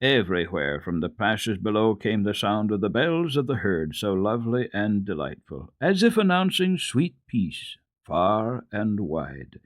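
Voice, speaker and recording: A man giving a measured, dramatic reading of a children's story as a radio storyteller. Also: fairly low pitch, no room sound, clean studio sound. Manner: expressive, measured storytelling delivery. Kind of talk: dramatic scripted narration of a children's book.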